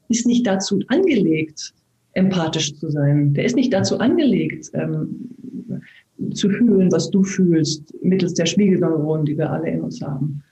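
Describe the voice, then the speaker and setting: A woman in her fifties from eastern Germany, an actress hosting a reflective conversation, explaining earnestly and slowly with many restarts. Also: lowish voice, warm tone. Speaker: actress and talk host. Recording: roomy echo.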